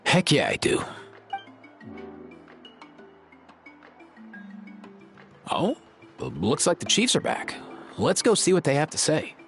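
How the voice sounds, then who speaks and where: An easygoing young vet from a video game. His speech is animated and full of casual bro slang, friendly yet earnest about his work. Music plays behind the voice. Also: on the high side, moderate pace, gravelly, exaggerated voice. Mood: upbeat. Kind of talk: video game character dialogue.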